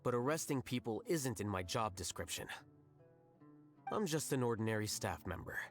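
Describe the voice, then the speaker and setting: A man playing an aloof young scholar who talks in a calm, precise and cutting way. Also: medium pitch, normal speed, close to an everyday voice. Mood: cool. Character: aloof young male scholar, cold and blunt. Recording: game audio with faint music.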